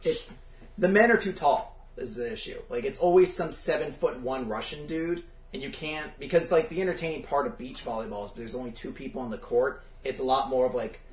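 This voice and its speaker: A man co-hosting a casual family podcast, talking in an animated, rambling way and laughing easily. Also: medium pitch, fairly quick, roomy sound, recorded from a distance. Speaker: podcast co-host.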